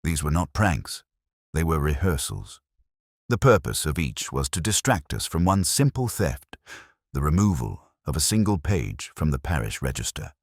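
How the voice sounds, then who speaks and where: A man voicing a calm, mild police inspector who makes measured, gently pointed speeches in a detective audiobook. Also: very deep voice, no echo, studio quality, exaggerated voice. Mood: measured, revealing. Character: mild, calm male police inspector delivering quiet reveals.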